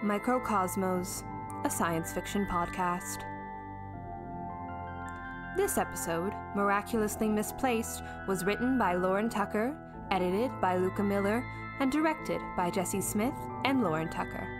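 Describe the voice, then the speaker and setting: A woman's voice: mid-range voice, unhurried. A woman announcer reading scripted podcast credits in a narrating style. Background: music.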